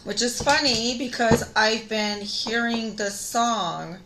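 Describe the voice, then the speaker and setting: A woman in her thirties with a raspy, tired voice, chatting casually to viewers as she reads tarot cards. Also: fairly high pitch, slow pace, bright, forceful tone, low-quality recording. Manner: chatty, talks straight to viewers. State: tired voice from singing. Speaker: tarot reader. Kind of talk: casual spiritual reading.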